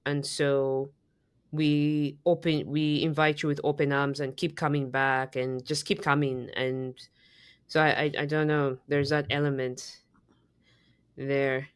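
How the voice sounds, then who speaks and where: A woman's voice: low-pitched, level tone, a little slow, smooth, no echo, clean studio sound. A woman from Zambia co-hosting a podcast, telling a story in casual chat with many fillers and restarts. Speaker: podcast co-host.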